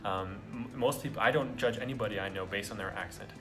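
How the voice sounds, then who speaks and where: A man's voice: fairly low pitch, fast. Young american guy vlogging, earnest and encouraging, lots of ums, faint music behind. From United States. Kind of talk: casual talk to camera.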